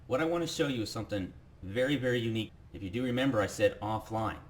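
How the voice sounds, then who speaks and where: A man presenting a software demo as a casual, enthusiastic sales pitch, talking the viewer through each step. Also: fast.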